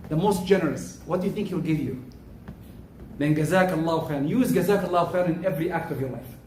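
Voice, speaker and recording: Loud high-voiced man preaching in an echoey hall. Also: very fast, slight background noise. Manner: loud, emphatic preaching with rising stresses. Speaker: khatib. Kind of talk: Friday sermon. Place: mosque prayer hall. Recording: echoey hall, mic from far off.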